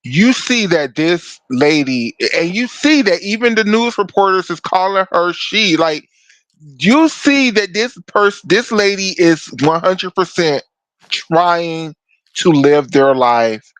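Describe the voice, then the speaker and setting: Man, deep voice, blunt, emphatic, lively pitch, dry close sound. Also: slow pace, no echo, poor audio. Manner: emphatic, blunt, swears freely. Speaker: podcast co-host. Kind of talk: casual chat.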